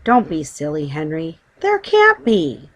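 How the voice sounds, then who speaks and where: A woman voicing a sensible middle-aged wife in a children's story, expressive and given to exclaiming. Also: low-pitched, a little slow, heavily acted. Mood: dismissive.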